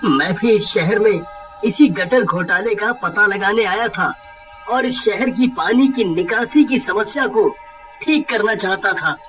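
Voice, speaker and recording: An earnest, somewhat timid male engineer voiced by a man in a horror cartoon story. His delivery is dramatic and very slow, prone to stammering, with clear background music. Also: sits high, a bit bright. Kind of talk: animated horror story dialogue.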